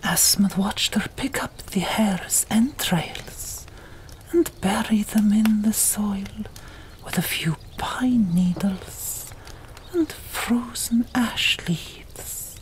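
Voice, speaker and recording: A woman narrating an audiobook in a breathy ASMR whisper, hushed and deliberate, pausing between short phrases. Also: mid-range voice, poor audio.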